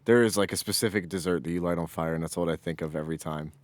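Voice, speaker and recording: A man's voice: low voice, rapid-fire, dry recording. A man co-hosting a podcast, chatting casually with joking, sweary banter. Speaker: podcast co-host.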